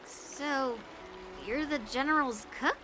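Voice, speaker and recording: A woman's voice: sits high, slow pace, pushed and bright, slight background noise, heavily acted. A woman voicing a brash, blunt young swordswoman in a video game, with a scornful manner. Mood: impatient. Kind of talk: English video game voice acting.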